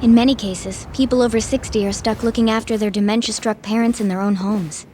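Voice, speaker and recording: A woman's voice: on the high side, steady tone, brisk, warm tone, slight background noise, close to an everyday voice. A woman playing a composed, idealistic medical researcher in a video game, explaining things earnestly. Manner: articulate, earnest.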